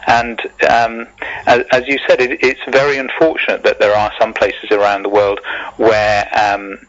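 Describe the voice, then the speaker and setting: A man giving earnest, measured radio interview answers as a community spokesman, in a hesitant, halting way with repeated words. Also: lowish voice, level tone, a bit bright. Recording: muffled lo-fi radio recording.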